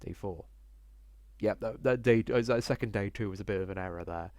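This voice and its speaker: A man's voice: on the low side, brisk, a little mellow, dry recording. A man reading a visual novel aloud as a let's player, stumbling a little over the text. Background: game music.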